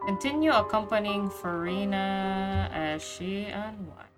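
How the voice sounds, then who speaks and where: A woman's voice: medium pitch, takes it slowly, music in the background. A woman game streamer giving casual, offhand commentary as she plays.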